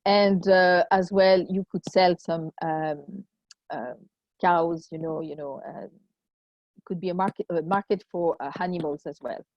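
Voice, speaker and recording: Woman with a low, muffled voice. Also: steady tone, unhurried, no room sound. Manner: hesitant, many fillers. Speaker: volunteer giving a city tour. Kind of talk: informal online presentation. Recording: dry, muffled video call audio.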